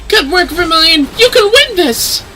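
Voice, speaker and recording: A woman's voice: very high voice, very animated, moderate pace, pushed and bright, a little hiss or hum, full character voice. A woman's voice playing a cheerful, deferential workshop helper in a fantasy game, with folksy, colloquial speech. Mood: cheering. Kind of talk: fantasy game dialogue read aloud.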